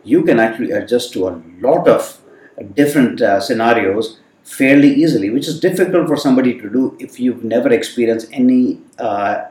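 A man whose first language is Hindi tells about his upbringing with reflective pauses and frequent fillers. He sits some distance from the microphone. The room around him adds a noticeable echo. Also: medium pitch, expressive, darkish tone. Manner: thoughtful, many fillers. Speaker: guest. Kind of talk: reflective storytelling in conversation. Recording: roomy sound from a distant mic.

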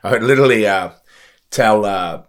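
A middle-aged man with a lowish voice hosts a relaxed sit-down chat. He rambles easily and circles back over his own words with fillers and restarts. He talks like a former athlete telling stories from the road. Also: normal speed. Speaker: podcast host and former wrestler. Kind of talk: casual interview chat.

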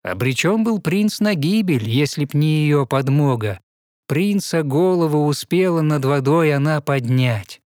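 Мужчина-рассказчик с высоковатым голосом, сказка в стихах. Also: male voice, a little high, lively intonation, darkish tone, dry recording, studio quality. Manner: measured, rhythmic recitation. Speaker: narrator. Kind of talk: scripted verse storytelling, fairy-tale audio play.

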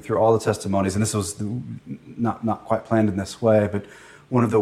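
A man leading a church service as pastor, reflective and lightly halting. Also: lowish voice, darkish tone.

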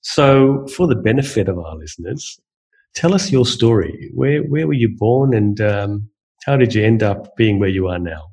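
A man's voice: lowish voice, animated, normal speed, darkish tone, husky, rough recording. A man hosting a podcast, introducing a guest in a loose, hesitant way with many fillers and restarts. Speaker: podcast host. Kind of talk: casual podcast chat.

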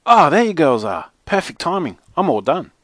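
A man's voice: on the high side, big pitch swings, moderate pace, a bit bright, no echo, clean studio sound, pitched above the performer's own voice. A man voicing an easygoing, affectionate father in a children's audiobook, cheerful and indulgent.

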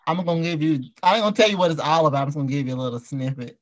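A man's voice: a little high, lively intonation, racing, poor audio. A man hosting a casual talk show, chatting in a playful, teasing, slangy way. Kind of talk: casual chat with guests.

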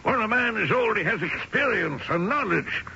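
Domineering old-country father for radio drama: argumentative, sharp-edged voice with sweeping, animated inflection. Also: high-pitched, moderate pace, creaky, husky, heavily acted. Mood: indignant, lecturing. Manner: argumentative, scolding, full of rhetorical questions. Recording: muffled, noisy old radio broadcast.

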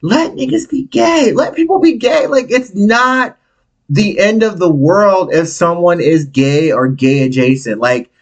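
A man in his late thirties hosting a solo podcast, talking bluntly and candidly with frequent profanity and animated swings in his delivery. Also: a little slow, muffled recording. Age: 30s. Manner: candid, animated, big swings in pitch and volume. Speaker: podcast host.

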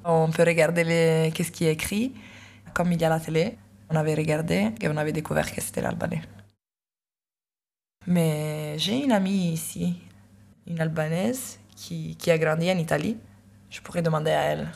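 A woman's voice: low voice, normal speed, vocal fry, a little mellow. A woman casually telling a short anecdote in a chatty, amused way.

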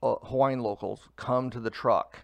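A man in his fifties who runs a food truck, talking casually in an interview, relaxed and rambling with fillers. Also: mid-range voice, moderate pace, gravelly, dry recording, dull audio.

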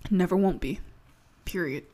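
A woman's voice: lowish voice, normal speed, very noisy. A young woman from Maryland with a slight Baltimore accent, ranting in a sarcastic, profane, emphatic comedy monologue. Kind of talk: comedic rant to camera.

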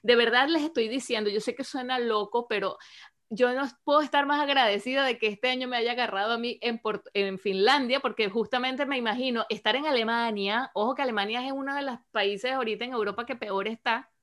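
Lively woman, bright and quick to laugh. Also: fairly high pitch. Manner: lively, laughs often, asks the listener for agreement. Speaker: co-host. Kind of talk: casual chat on an online show. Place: lives in Finland.

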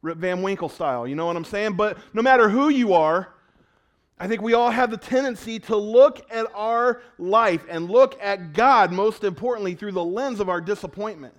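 A man preaching a sermon in a conversational way, asking his congregation questions and swinging between loud, urgent lines and hushed ones. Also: sits high, normal speed. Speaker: pastor.

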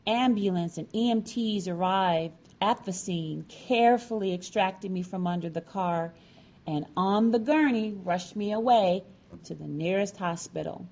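Slow-paced woman telling a personal story. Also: medium pitch, darkish tone. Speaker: featured storyteller. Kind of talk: personal storytelling, scripted narration.

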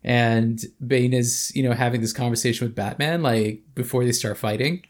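A man's voice: mid-range voice, fairly even intonation, moderate pace. A man in his thirties co-hosting a podcast, talking in a thoughtful, hesitant way with many fillers. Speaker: podcast co-host. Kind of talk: casual chat.

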